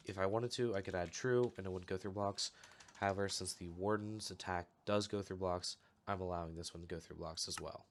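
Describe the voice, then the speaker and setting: A young man recovering from a cold, calmly walking through a step-by-step game tutorial with a slightly off voice. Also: low voice. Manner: relaxed, explanatory, steady walkthrough with fillers. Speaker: channel host giving a game tutorial. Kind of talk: casual screen-recorded tutorial.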